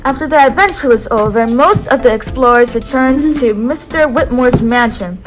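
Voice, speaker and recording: A woman reading a picture book aloud in a clear, teacherly way, pausing to have a child repeat key words. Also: on the high side, over music. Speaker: read-aloud host.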